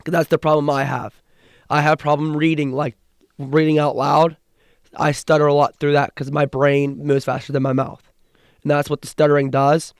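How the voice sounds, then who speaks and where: A young man co-hosts a sports podcast in a casual, earnest way and stumbles through a stutter with restarts. His voice sits a little high and sounds smooth and resonant. It is recorded dry and close, with no room sound. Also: flat delivery, moderate pace, no room sound. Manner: earnest, with restarts and repeated words. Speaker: podcast co-host.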